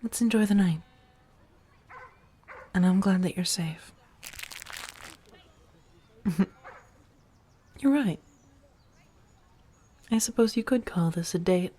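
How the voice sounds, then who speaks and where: A woman playing a protective, worldly vampire roommate in a soft-spoken ASMR roleplay, speaking in measured, halting phrases that sometimes drop toward a whisper. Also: fairly low pitch. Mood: gentle, amused. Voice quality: soft, breathy whisper at times. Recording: music under the voice.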